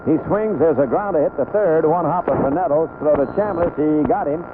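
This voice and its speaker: A man calling baseball play-by-play live on the radio in short, unhurried phrases. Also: on the high side. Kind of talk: live radio sports commentary. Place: outdoor ballpark broadcast booth. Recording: tinny, noisy old AM radio broadcast.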